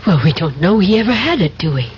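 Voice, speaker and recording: Low-voiced, guarded femme fatale. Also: female voice, big pitch swings, fast. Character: cool young female double agent, guarded and duplicitous. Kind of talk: 1970s radio drama acting. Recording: dull vintage radio broadcast, faint hum.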